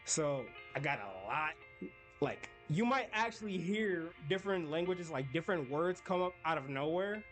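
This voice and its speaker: A man's voice: on the high side, lively intonation, moderate pace, a bit bright, faint music behind. A man streaming a game, chatting casually with his viewers in a rambling way. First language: English. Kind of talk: casual live-stream chat while gaming.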